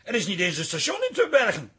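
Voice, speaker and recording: A man's voice: sits high, wildly swooping pitch, fast, bright, forceful tone, breathy edge, heavily acted. An actor playing an exasperated, sarcastic city man in a Dutch radio drama. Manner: sardonic.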